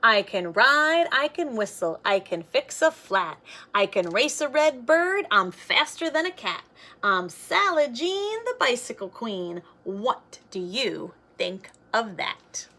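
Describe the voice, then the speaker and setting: A plucky young storybook girl, voiced by a woman reading aloud. She is resourceful and spirited, declaiming in a sing-song way. The voice is bright and swings widely in pitch, at an unhurried pace. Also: sing-song, exaggerated voice. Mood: joyful sing-song.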